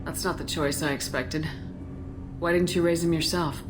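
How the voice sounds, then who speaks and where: An actor playing a direct, concerned military commander in a video game, with a plain-spoken, probing manner. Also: male voice, a little high, steady tone, moderate pace, noisy background, lightly acted. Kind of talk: video game dramatic dialogue.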